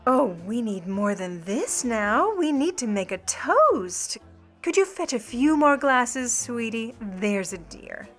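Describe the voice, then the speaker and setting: Sly 1950 Hollywood starlet-thief , female actor, higher-pitched, condescending, syrup over steel, music underneath. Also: big pitch swings, slow, fairly bright tone, heavily acted. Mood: gushing, barbed. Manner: arch, syrupy politeness. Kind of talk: 1950 noir radio-play acting.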